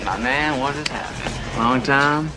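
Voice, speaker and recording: A man plays a smooth, cocky drifter who has drifted well past his school days and never left the scene. His talk is easygoing and unhurried, in a voice at an ordinary pitch. Film audio with noticeable background noise behind him. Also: natural voice. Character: laid-back older man, smooth-talking drifter. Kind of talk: film dialogue.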